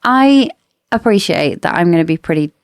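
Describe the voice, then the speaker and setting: A woman's voice: on the low side, expressive, normal speed, smooth, dry recording, clean studio sound. A woman co-hosting a casual book discussion podcast, explaining and arguing her points in an opinionated way. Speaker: podcast co-host.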